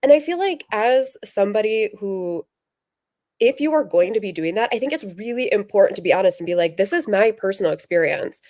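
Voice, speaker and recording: Female co-host, informal book-chat style, mid pitch, dry close recording. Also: expressive, brisk, a little mellow, no room sound. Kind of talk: casual chat.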